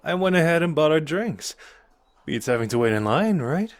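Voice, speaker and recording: A male actor plays a cocky young sorcerer in an intimate roleplay. His pitch moves freely as he teases, with an earnest, protective streak underneath. The sound is close and dry. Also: big pitch swings, moderate pace, no echo. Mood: playful, casual. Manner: casual, teasing, intimate and conversational. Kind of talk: ASMR roleplay acting addressed to the listener.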